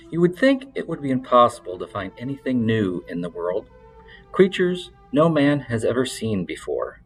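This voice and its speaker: A man reading literary fiction aloud in a measured, expressive storytelling style, like an audiobook narrator. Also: lowish voice, low-quality recording.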